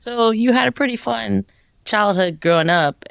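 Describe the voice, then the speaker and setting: A woman's voice: medium pitch, normal speed, vocal fry, smooth, no echo. A young woman from the Los Angeles area hosting a podcast interview, asking follow-up questions and sharing her own story. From Los Angeles area, USA. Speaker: podcast host. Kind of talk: conversational interview.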